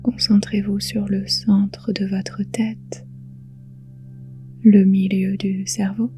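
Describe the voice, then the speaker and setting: A woman guiding a relaxation meditation in a soothing, hushed, breathy voice, leaving long pauses between phrases. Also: medium pitch, flat delivery, soft background music. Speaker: yoga nidra guide.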